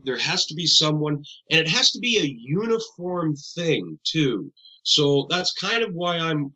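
A man's voice: fairly high pitch, pushed and bright, poor audio. A Canadian man talking as a guest on a radio show, rambling and conversational, often correcting himself mid-sentence. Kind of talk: casual radio interview.

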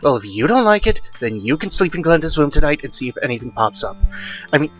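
A man voicing a protective, sharp-tongued mother in a horror audiobook. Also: fairly high pitch, sing-song, fast talker, dull audio, soft background music, voice pushed up. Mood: angry, scolding. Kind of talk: audiobook horror story character voice.

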